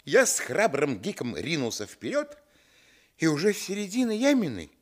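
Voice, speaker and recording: An actor playing a grown man recalling his boyhood, narrating a radio play in a wry, expressive way. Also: on the high side, normal speed. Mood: dramatic.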